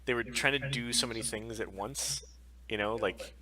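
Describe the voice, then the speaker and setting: A man's voice: mid-range voice, moderate pace, crowd noise, poor audio. A man co-hosting a casual chat stream, giving opinions in an emphatic, rambling way. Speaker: co-host of a weekly pop culture stream.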